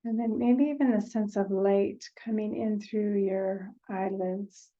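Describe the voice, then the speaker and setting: A woman leading a guided meditation in a gentle, unhurried way, leaving long pauses between short phrases. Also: medium pitch, steady tone, dull audio.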